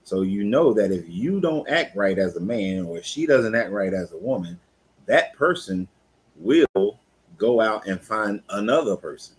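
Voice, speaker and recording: A very low-pitched man in his fifties talks in a relaxed, candid way, like a coach chatting with his audience on a live stream. His delivery is loose and conversational, and he stops now and then to read out viewer comments. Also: unhurried, husky. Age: 50s. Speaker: life coach hosting a live talk. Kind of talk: casual live-stream chat.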